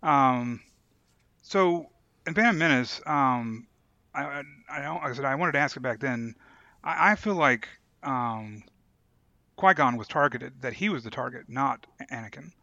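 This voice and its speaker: A man's voice: medium pitch, animated, measured pace, sharp tone, no echo, highs cut off. A man co-hosting a podcast in casual fan discussion, thinking aloud tentatively as he works through his points. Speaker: podcast host.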